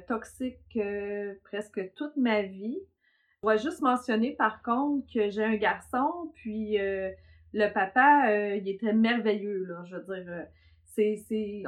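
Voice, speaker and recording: A middle-aged woman with a Québécois French accent, telling her personal story as host in a hesitant way full of fillers. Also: a little high. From Quebec, south shore of Montreal. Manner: hesitant, many fillers, laughs often. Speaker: podcast host, therapist.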